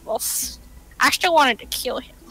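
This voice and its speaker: A woman's voice: high voice, moderate pace, gravelly, a bit bright, soft background music, rough recording. A woman chatting casually and playfully while gaming, swearing and reading game text aloud. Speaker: gamer, co-player.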